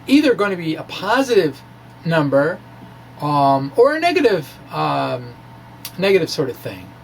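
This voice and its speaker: A man giving an informal science explanation in a halting way, as if thinking aloud. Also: a little high, slight background noise, low-quality recording. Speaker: amateur scientist presenting his blog.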